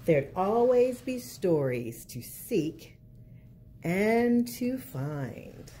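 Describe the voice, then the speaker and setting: A woman reads a picture book to children in an expressive storyteller's style. Her pitch moves freely over a mellow, rounded tone. A little background noise sits behind her voice. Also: drawn-out words. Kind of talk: read-aloud picture book narration.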